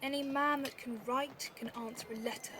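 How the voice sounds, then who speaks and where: A woman's voice: sits high, steady tone, normal speed, slight background noise. A woman playing a witty, bawdy, teasing young Mercutio in a theatrical Shakespeare audio drama, recorded on a phone at home. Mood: mocking. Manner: theatrical, teasing, swooping between loud flourishes and mutters.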